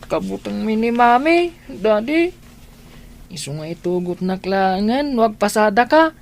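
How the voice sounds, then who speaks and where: A woman's voice: medium pitch, sing-song, measured pace, creaky. A woman with an Ilocano accent playing an innocent young child in a radio drama, with simple, pleading speech. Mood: innocent, complaining.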